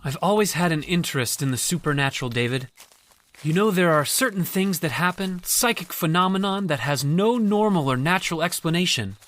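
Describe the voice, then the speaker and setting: A man's voice: a little high, brisk, a bit bright, lightly acted. A man playing an uneasy character fascinated by the supernatural in an old-time radio horror drama. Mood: uneasy, intrigued.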